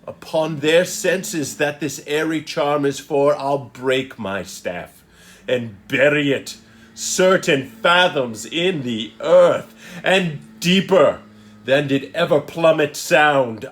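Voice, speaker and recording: A man playing a powerful, wronged magician in a Shakespearean soliloquy, declaimed in a solemn, commanding, deliberate manner. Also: a little high. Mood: commanding, grand.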